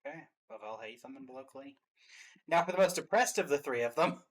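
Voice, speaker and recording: A man's voice: mid-range voice, expressive, fast, a little hiss or hum. A man co-hosting a reaction video, chatting casually as he ranks game characters. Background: faint music.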